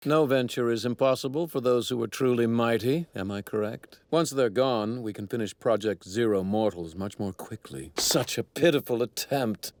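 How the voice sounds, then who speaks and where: An actor playing an arrogant, self-righteous god who scorns mortals, with pompous, formal diction in a theatrical anime dub. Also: male voice, mid-range voice, moderate pace, no room sound, close to an everyday voice. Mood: smug, calm. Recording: noisy clip with overlapping sound effects. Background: anime sound effects.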